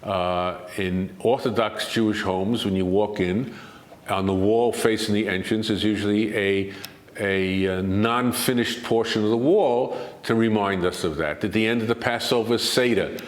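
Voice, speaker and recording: An older man with a low voice, speaking as an interview guest. He answers in a measured way and presses his arguments home with emphasis. The room gives his voice a clear echo. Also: fairly even intonation. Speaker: guest expert.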